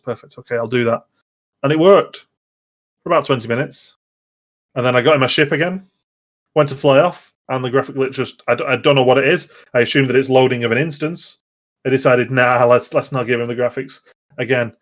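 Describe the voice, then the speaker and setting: A man's voice: medium pitch, fairly bright tone. Chatty man hosting a podcast. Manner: chatty, self-interrupting, digressive. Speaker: podcast host. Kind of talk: casual gaming podcast chat.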